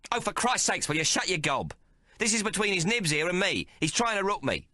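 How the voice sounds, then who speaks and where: A man's voice: mid-range voice, sing-song, brisk, pushed and bright, no echo, studio quality, voice pushed up. A man voicing a bossy, coarse young woman who haggles fiercely in an audiobook. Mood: furious. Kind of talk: audiobook character voice.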